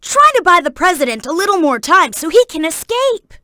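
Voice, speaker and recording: A woman voicing a young boy fox sidekick in a video game, earnest, helpful and excitable, with clear childlike delivery. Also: very high-pitched, brisk, no echo, clean studio sound, full character voice. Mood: earnest, instructive. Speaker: video game character giving hints. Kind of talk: game voice acting, tutorial hints and alerts.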